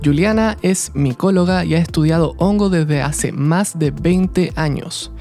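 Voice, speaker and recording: A woman co-hosting a podcast who reads a scripted introduction in a measured way. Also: deep voice, mellow, faint music behind. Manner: measured, read-aloud delivery. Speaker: podcast co-host.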